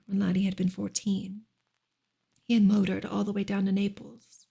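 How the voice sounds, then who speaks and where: A woman reading a novel aloud in a soft, breathy ASMR style, partly whispered, in a gentle, hushed and unhurried way. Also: mid-range voice. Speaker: ASMR reader and streamer.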